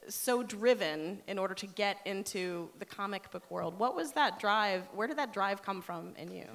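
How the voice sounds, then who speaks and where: A woman's voice: medium pitch, animated, moderate pace, a bit bright. Woman radio host in a reverberant hall. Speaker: radio show host and interviewer. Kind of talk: live on-stage radio introduction and interview. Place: on stage in a hall with an audience.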